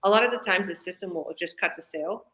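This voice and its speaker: A woman's voice: lowish voice, fast, fairly bright tone. A woman business coach from British Columbia answering interview questions in a casual, chatty way, explaining eagerly. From British Columbia, Canada. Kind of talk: live online interview answers.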